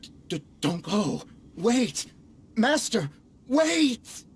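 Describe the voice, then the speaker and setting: A woman's voice: fairly high pitch, sing-song, drawn-out words, full character voice. A woman voicing a scheming, melodramatic merchant villain in a video game, theatrical and easily flustered. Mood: desperate pleading. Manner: theatrical, stammering when flustered.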